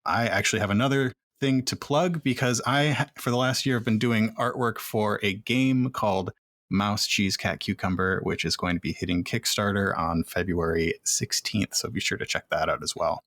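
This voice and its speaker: A man hosting a casual podcast roundtable and handing turns to his guests in a relaxed way. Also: lowish voice, normal speed, no echo. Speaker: roundtable host.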